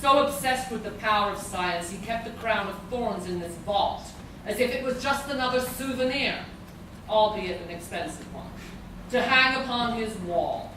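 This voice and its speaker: A woman playing a grandiose, merciless gargoyle sorceress villain in a live radio play, speaking in a commanding, deliberate way. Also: mid-range voice, close to an everyday voice. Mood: gloating, menacing. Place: live convention stage reading in a large room. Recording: echoey noisy hall, recorded from far off.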